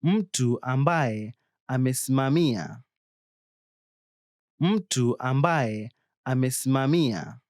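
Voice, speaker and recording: A man demonstrating scripted phrases for a language drill, with careful, deliberate enunciation. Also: mid-range voice, expressive, darkish tone, dry recording, clean studio sound. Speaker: native-speaker phrase demonstrator.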